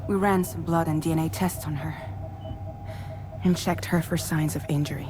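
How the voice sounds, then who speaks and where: An actress playing a composed, caring member of a sci-fi medical team in a video game. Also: female voice, low-pitched, fairly even intonation, normal speed, natural voice. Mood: matter-of-fact. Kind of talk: video game cutscene acting.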